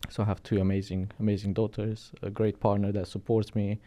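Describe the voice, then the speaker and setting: A man with a Romanian accent speaks in a low, mellow voice. He talks loosely and conversationally, restarting phrases and checking in with "right?" as he tells his story as a podcast guest. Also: flat delivery, fairly quick. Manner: chatty, storytelling, frequent restarts and "right?" tags. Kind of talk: casual interview chat.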